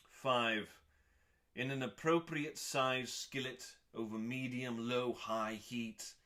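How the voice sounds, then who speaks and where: A man giving a dramatic, mock-serious scripted reading as a host, pompous, with long pauses and drawn-out emphasis on words. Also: medium pitch, fairly bright tone. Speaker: host reading aloud from a cookbook.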